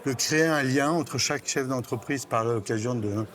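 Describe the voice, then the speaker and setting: A man's voice: medium pitch, moderate pace. Man in his sixties over background noise. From born in Cameroon, raised in central Africa by French parents. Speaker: interview guest, recently retired business network member. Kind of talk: casual conversational interview answers. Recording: clear background noise and ambience.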